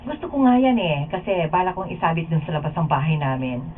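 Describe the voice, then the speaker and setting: A woman's voice: low voice, sing-song, quick pace. A woman with a Filipino accent playing an admiring, sociable house guest in a radio drama rehearsal. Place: radio recording studio. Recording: tinny, band-limited studio footage with faint noise.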